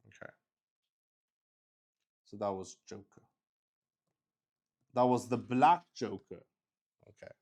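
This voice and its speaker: Slow-paced man thinking aloud at game table. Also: mid-range voice, a little mellow. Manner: hesitant, thinking aloud, repeats words and phrases. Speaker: solo roleplay host and player. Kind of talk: improvised narration of a solo tabletop roleplaying game, thinking aloud.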